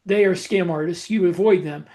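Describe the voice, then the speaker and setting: A man's voice: sits high, moderate pace, darkish tone. A man co-hosting a podcast, explaining things casually in a blunt, opinionated way. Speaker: podcast co-host.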